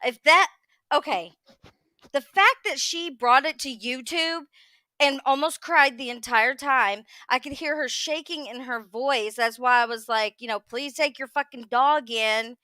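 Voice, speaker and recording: A woman with a high, forceful voice, recorded dry and close. She chats informally as a panelist, blunt and exasperated, swearing freely. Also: measured pace, clear, resonant tone, no echo. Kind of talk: casual gossip chat.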